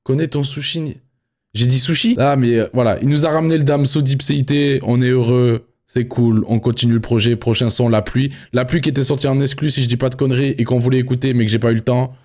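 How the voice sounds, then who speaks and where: A man streaming live and reviewing an album for his viewers, who swears constantly and swings from mulling things over to excited, laughing rants at the chat. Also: medium pitch, fast. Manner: swears a lot, addresses chat, jumps from musing to excited ranting; laughs often.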